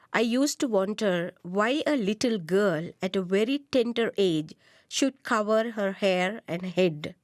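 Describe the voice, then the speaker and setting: Slow, measured woman from Sri Lanka. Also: medium pitch, no echo, clean studio sound. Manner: slow, measured, deliberate reading. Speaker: writer. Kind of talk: scripted first-person narration.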